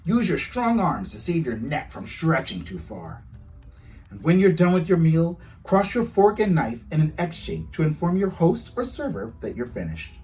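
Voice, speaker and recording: A man reading his children's storybook aloud with expressive, storytelling delivery. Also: fairly high pitch, moderate pace, distant mic, soft background music, low-quality recording. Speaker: author reading own book aloud.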